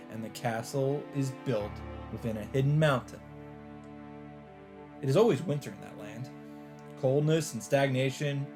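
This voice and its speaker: Male let's player for visual novel narration, average pitch, earnest expressive read with casual asides, clear music bed behind. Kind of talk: dramatic read-aloud with casual commentary. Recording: background music under the voice. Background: game music.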